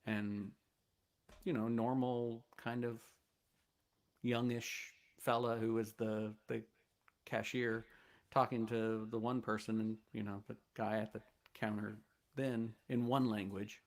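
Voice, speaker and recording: A man from the United States sits in as a guest and tells stories in a loose, easygoing way. His voice sits fairly low. He meanders through each anecdote with plenty of fillers. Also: flat delivery, slow. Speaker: guest bass player. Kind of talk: casual storytelling chat.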